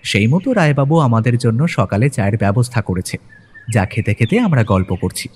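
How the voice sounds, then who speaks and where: Male narrator, medium pitch, husky tone, dramatic scripted storytelling. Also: expressive, fairly quick, darkish tone.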